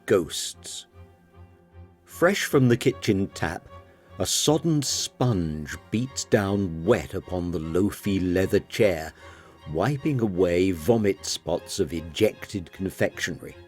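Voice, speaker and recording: A man's voice: low-pitched, animated, takes it slowly, a little mellow, faint music behind. A man narrating a literary audiobook in a dramatic style.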